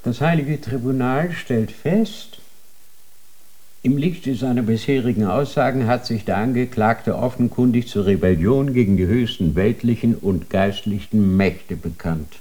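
A man's voice: medium pitch, unhurried, mellow, lightly acted. A man playing a stern, formal inquisitor. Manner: formal, cold, interrogating. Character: stern male inquisitor of a holy tribunal. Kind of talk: radio drama acting.

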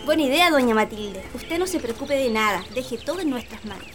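Shrill, fussy Chilean maidservant. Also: female voice, animated, a little fast, background noise. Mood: obliging. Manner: grumbling, bossy. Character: fussy, bossy, grumbling woman servant of a hacienda. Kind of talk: radio drama acting.

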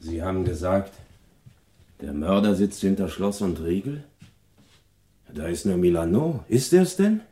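An actor playing a cautious police assistant in a 1960s German radio crime drama, measured and questioning. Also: male voice, deep voice, animated, dark tone. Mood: doubtful.

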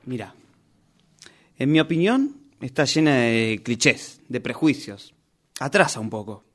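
A man's voice: mid-range voice, animated, unhurried, a bit bright. An actor with a Rioplatense Argentine accent playing a defiant, indignant character from a novel who argues with his author, in a radio play rehearsal. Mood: reproachful. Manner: argumentative, reproachful.

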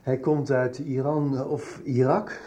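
A man's voice: mid-range voice, flat delivery, takes it slowly, dark tone, slight background noise, lightly acted. An actor playing a middle-aged father in a Dutch radio soap. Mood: affable. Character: middle-aged father, halting and kindly, stern when crossed.

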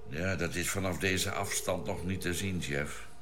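Deep-voiced thoughtful space scientist. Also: male voice. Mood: thoughtful, explanatory. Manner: deliberate, explanatory. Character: measured, thoughtful male scientist-doctor aboard a spaceship. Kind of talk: Dutch science-fiction radio drama acting. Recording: noisy old radio drama recording.

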